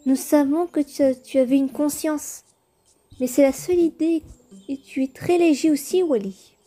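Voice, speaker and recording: A woman's voice: high-pitched, normal speed, mellow, faint music behind, natural voice. A woman voicing a polite, earnest schoolchild twin in a cartoon comic dub.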